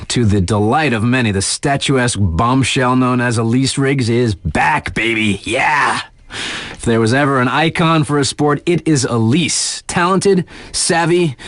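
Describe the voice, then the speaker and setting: A male actor plays a cocky young radio DJ from a snowboarding video game. His mid-pitched voice swings widely through slangy, playful hype, with swagger in every line. The sound is dry and close, like a studio booth. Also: fairly bright tone, dry recording, lightly acted. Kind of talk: hyped radio sports spotlight patter.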